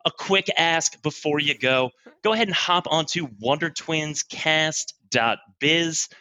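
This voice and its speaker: A man's voice: fairly high pitch, a little slow, pushed and bright. A man speaking as a comedic podcast guest, improvising polished, emphatic corporate training patter in the manner of a presenter.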